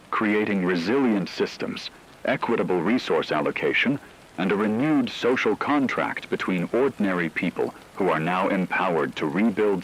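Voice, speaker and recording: A man playing a radio news anchor in a doomsday broadcast, reading scripted news in a formal, measured way over noise. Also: deep voice, rough recording.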